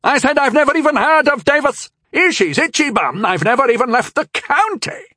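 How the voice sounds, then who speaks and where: A man voicing a frightened old knight who squeals and protests in a high, shrill voice. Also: big pitch swings, brisk, sharp tone, no echo, clean studio sound, exaggerated voice. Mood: panicked protest.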